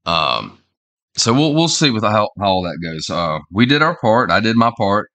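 A man in his forties with a head cold that makes him sound nasal, talking in a halting, rambling way with many fillers and restarts. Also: on the low side, sing-song, pushed and bright. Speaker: online commentator. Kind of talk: casual opinion vlog. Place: small indoor room at home.

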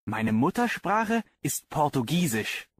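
A man voicing a young man student who introduces himself in slow, carefully spoken model sentences. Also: on the high side, big pitch swings, a little hiss or hum.

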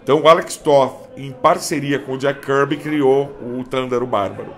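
A man's voice: mid-range voice, normal speed. A man from Brazil at a collectors' table chat, explaining cartoon and toy history in detail like a trivia expert. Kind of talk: casual panel chat. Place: indoor room, seated at a table of toys. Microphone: handheld mic passed around.

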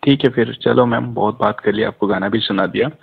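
Guy with a husky low-ish voice teasing on a phone call, muffled lo-fi sound. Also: fairly even intonation, fairly quick. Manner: teasing, joking. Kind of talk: casual phone chat. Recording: dull lo-fi phone call recording.

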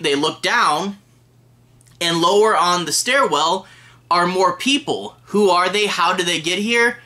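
A man's voice: a little high, pushed and bright. A man in his thirties chatting enthusiastically and casually to camera as a film reviewer. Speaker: movie reviewer, host. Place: small room lined with DVD shelves.

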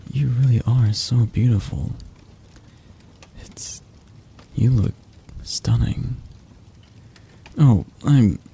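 A man playing a possessive, doting mafia boss in an ASMR roleplay, speaking in a husky, halting, intimate and condescending way. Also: lowish voice, dark tone, full character voice. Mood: admiring, flustered. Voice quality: husky, breathy. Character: possessive young male mafia boss, doting yet controlling.

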